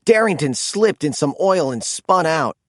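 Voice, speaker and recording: A man narrating a children's storybook in an expressive, animated read-aloud style. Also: on the high side, unhurried, no echo, clean studio sound.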